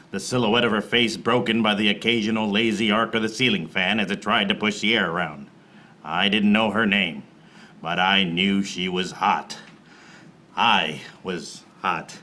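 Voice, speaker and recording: An actor playing a wisecracking, world-weary private detective in a film noir radio play parody, with a deadpan delivery. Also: male voice, on the low side. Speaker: actor in lead role and narrator. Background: music behind the voice.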